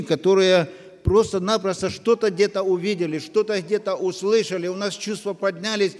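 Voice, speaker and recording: Guy preaching in a church, high voice, big echoey hall. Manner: slow, earnest, with long pauses. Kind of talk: sermon. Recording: long reverb of a church hall.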